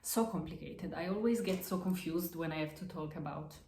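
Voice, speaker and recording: A woman with an Italian accent, a native Italian speaker, hosting a casual crafts podcast and showing her projects in halting English full of fillers and restarts. She records at home. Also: fairly low pitch, warm tone, slight background noise. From Italy. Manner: hesitant, halting, many fillers and self-corrections. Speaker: podcast host. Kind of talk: casual show-and-tell of crafts. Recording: echoey room.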